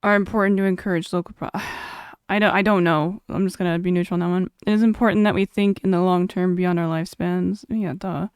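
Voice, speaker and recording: Chatty young woman streamer, smooth voice. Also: fairly low pitch, fairly even intonation, fairly quick, hardly any pauses, dry recording, clean studio sound. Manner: chatty, laughs often, reads chat aloud. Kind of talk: casual live-stream chat.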